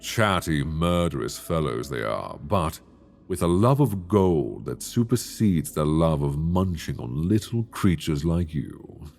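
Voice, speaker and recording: A man voicing a possessive, teasing dragon king in human form in an ASMR audio roleplay, speaking slowly and intimately into a binaural mic. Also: expressive, faint music behind, exaggerated voice. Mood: amused. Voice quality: deep, gravelly. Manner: slow, intimate, teasing, soft-spoken.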